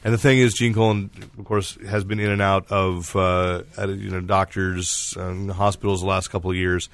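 A man hosting a casual comics podcast, chatting in a halting, digressive way with some teasing banter. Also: deep voice, little pitch movement, dry recording. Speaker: podcast host and editor-in-chief.

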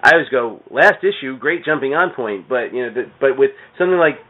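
A man's voice: medium pitch, lively intonation, a little fast. A middle-aged man chatting casually about comics as a podcast guest, rambling with plenty of "you know". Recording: thin phone-like radio sound.

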